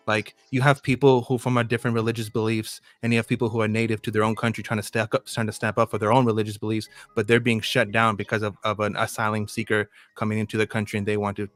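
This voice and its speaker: A man's voice: lowish voice, a bit bright, dry recording. A man co-hosting a casual live stream chat, talking in an earnest, emphatic way with some swearing. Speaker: co-host.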